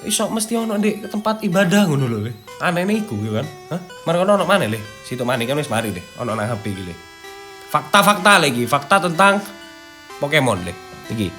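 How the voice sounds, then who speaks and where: Higher-voiced East Javanese man, slangy chat. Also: sing-song, fast talker, pushed and bright. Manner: slangy, peppering talk with interjections. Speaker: video host. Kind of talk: casual chatty commentary, partly reading out an article. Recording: background music under the talk.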